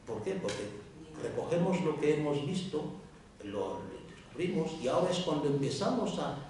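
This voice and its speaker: A man from Africa, a writer with another first language, speaking on a round-table panel in a lively way, with many restarts and repeated words. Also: fairly high pitch, normal speed, a little hiss or hum. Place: lecture room. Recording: echoey hall, distant mic.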